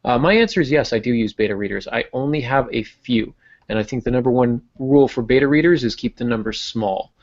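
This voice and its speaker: A man's voice: medium pitch, brisk, lo-fi sound. A man hosting a casual podcast as an author, explaining things in an informal, self-deprecating way.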